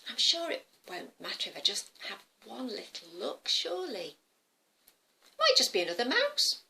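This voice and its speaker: A woman voicing a curious, excitable little girl in a children's story, full of wonder and drawn-out exclamations. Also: on the high side, gravelly, full character voice. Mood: sneaky curiosity. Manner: breathless wonder, stretched-out exclamations. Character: curious, excitable little girl, easily amazed, a bit sneaky.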